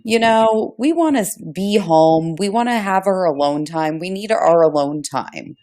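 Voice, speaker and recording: Very low-pitched woman, blunt podcast talker. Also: unhurried. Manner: blunt, animated storytelling, profane. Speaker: podcast co-host. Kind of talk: casual conversational podcast chat.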